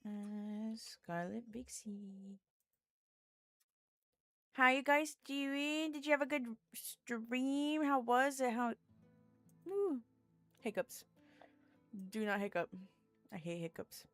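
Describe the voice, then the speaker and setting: A woman's voice: on the high side, slow, long pauses, fairly bright tone, dry recording. A woman game streamer chatting casually with her viewers. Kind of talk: casual livestream chat. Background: faint music.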